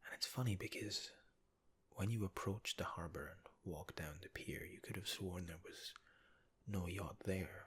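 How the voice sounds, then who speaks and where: A man whispering a soothing ASMR guided relaxation, talking the listener gently through an imagined scene. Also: sits low, measured pace, husky. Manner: soft, soothing, second-person guiding.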